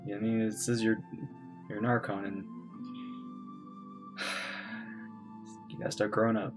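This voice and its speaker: A man giving offhand, low-key reaction comments as host of a reaction video. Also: little pitch movement, takes it slowly, music in the background.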